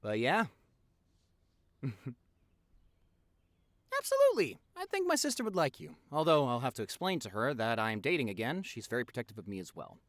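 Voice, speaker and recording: A man's voice: mid-range voice, normal speed, long pauses, no echo, clean studio sound. A young man playing a playful, affectionate boyfriend who rambles chattily and teasingly in an intimate audio roleplay. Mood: playful, musing. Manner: teasing, animated, chatty, expressive.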